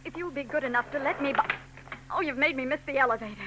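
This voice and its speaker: Brief: actress plays a flustered young woman, quick and high, crackly 1940s broadcast. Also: close to an everyday voice. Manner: breathless, indignant. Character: frightened young woman, defensive. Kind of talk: 1940s radio acting. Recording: hissy old radio broadcast.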